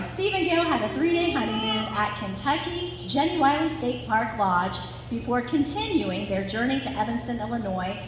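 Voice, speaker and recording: A woman in her forties from Ohio reads a family story with chatty, sentimental asides at a live gathering. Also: fairly high pitch. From Ohio, United States. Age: 40s. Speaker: daughter reading family story at anniversary event. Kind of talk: scripted family narration with informal asides. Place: large reverberant room at a live gathering. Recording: echoey hall, distant, clearly noisy.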